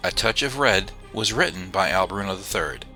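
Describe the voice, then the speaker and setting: A man's voice: sits low, animated, fairly quick, sharp tone. A man reading scripted credits as a podcast announcer. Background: music.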